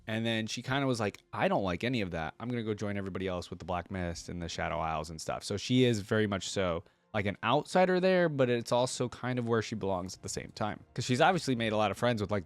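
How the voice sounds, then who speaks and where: A man's voice: lowish voice, no room sound. A man hosting a reaction video, chatting casually and reading text aloud with frequent asides. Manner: chatty, pauses to add asides.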